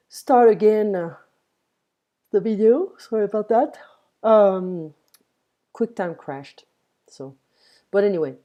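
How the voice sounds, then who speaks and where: A woman teaching grammar in English, patiently modelling each word slowly. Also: medium pitch, dark tone. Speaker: French tutor. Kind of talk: one-on-one language lesson, explaining grammar.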